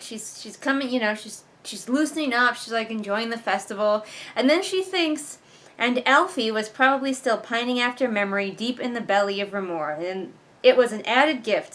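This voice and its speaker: A woman's voice: mid-range voice, fairly bright tone. A woman hosting a casual read-along, reviewing a book with exasperated, sarcastic commentary. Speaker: book reviewer and video host.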